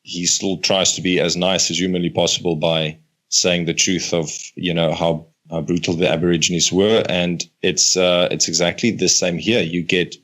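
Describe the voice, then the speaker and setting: A man's voice: deep voice, level tone, moderate pace, fairly bright tone. A man hosting a podcast, talking in an easygoing, agreeable, conversational way. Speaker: podcast host. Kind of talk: casual podcast interview.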